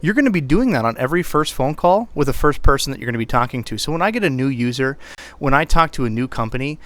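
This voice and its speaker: A man with a low voice, co-hosting an IT podcast, speaking deliberately with fillers and restarts. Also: lively intonation. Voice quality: low voice, pushed brighter on purpose. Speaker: podcast co-host, IT technician. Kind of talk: casual podcast talk. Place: Minnesota, US.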